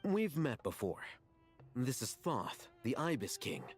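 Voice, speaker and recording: A man's voice: medium pitch, big pitch swings, measured pace, soft background music. A man playing a composed, businesslike young scholar in a video game, matter-of-fact and formal.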